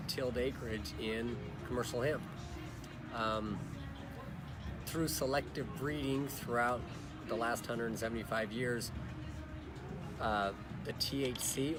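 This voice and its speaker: A middle-aged man with a hoarse, worn-out voice gives relaxed, plain-spoken answers at a busy convention. His voice sits at an ordinary middle pitch. Loud music plays clearly behind him. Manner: informal, explains plainly with fillers. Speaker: company chief scientific advisor. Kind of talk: casual explanatory interview answers.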